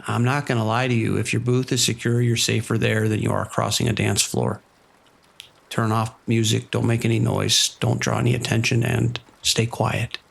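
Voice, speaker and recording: An actor playing a steady police officer in a radio drama, giving firm, commanding orders. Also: male voice, medium pitch, normal speed, creaky, breathy edge. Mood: grave. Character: steady male vice cop on the radio.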